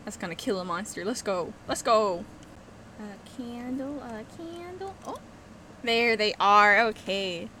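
An excitable young woman narrating her gameplay, her pitch leaping all over as she reacts. She talks into a headset with faint background noise. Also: measured pace. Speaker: streamer. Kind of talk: casual gameplay commentary.

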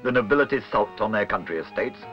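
A man's voice: on the low side, lively intonation, fast talker. A man reading scripted documentary narration. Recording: muffled old film soundtrack with music. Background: music.

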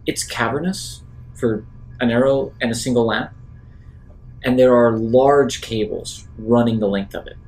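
Man, tabletop player, mid-pitched, slow improvised narration, faint music. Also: a little mellow, rough recording.

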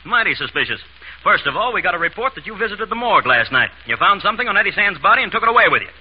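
An actor playing a suspicious, sarcastic police inspector in 1930s radio style, blunt and slangy, on a vintage recording. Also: male voice, high voice, very animated, rapid-fire, slightly rough, lo-fi sound, exaggerated voice. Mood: sardonic, suspicious. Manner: blunt, wisecracking, colloquial interrogation.

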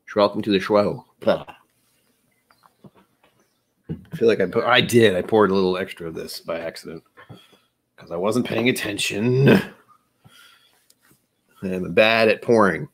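Man rambling in casual livestream chat. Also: lowish voice. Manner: rambling, self-mocking, dabbles in joke accents. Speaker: streamer. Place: home room with shelves of bottles.

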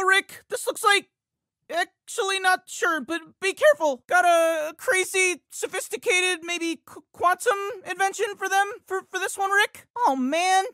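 An anxious teenage boy for a video game, his voice pitched very high and bright, and he keeps stumbling over his words in a jittery stammer. It sounds like a dry studio recording with no room around it. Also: dry recording, heavily acted. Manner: stammers and repeats words, cracks into yelps. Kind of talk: game voice lines. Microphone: studio microphone.

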